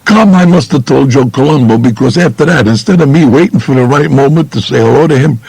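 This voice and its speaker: A man's voice: medium pitch, fairly quick, vocal fry, darkish tone, husky, no room sound. A man playing a tough, streetwise New York mobster recounting his crimes bluntly in colloquial first-person audiobook narration. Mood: blunt, matter-of-fact. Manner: colloquial, blunt, matter-of-fact.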